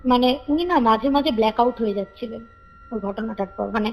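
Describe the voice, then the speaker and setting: High-voiced woman telling stories, reverberant. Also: fairly quick, darkish tone. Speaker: caller telling a ghost story. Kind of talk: casual spoken storytelling of a family ghost story. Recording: reverberant call recording with music behind. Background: background music.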